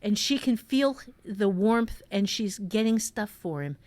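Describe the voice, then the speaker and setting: A woman improvising a story in a conversational writing lesson, thinking aloud in a halting way with repeated phrases. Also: mid-range voice, lively intonation, a little mellow, no echo. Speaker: author and writing teacher.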